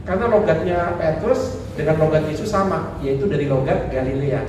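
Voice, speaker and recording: Priest guy preaching, kinda high voice, booming echo, heard from across a hall w/ room noise. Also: normal speed, recorded from a distance. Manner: animated, acts out quoted voices, jokes with audience. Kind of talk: sermon talk with slides. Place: church hall. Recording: echoey hall recorded from afar.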